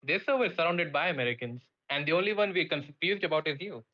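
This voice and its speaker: A man's voice: fairly high pitch, fast talker, highs cut off. A man in an online voice chat argument, pressing with incredulous, challenging questions. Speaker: debate participant.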